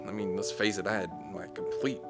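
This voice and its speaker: A man speaking candidly and plainly to camera about himself, in a halting, conversational way. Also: sits low, level tone. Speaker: documentary subject, surgery patient. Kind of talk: candid personal talk to camera. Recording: loud music behind the voice.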